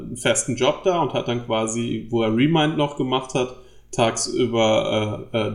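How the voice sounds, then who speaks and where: A man hosts a casual podcast chat with a voice of ordinary pitch. He explains things haltingly and leans on plenty of fillers. His voice rings around a roomy, echoey space. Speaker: podcast host.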